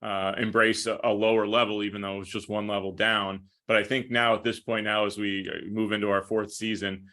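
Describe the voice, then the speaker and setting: An American man answering interview questions conversationally as a guest broadcaster, with measured, hesitant phrasing. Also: lowish voice, level tone, sharp tone. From Minnesota, United States. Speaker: podcast guest, hockey play-by-play broadcaster.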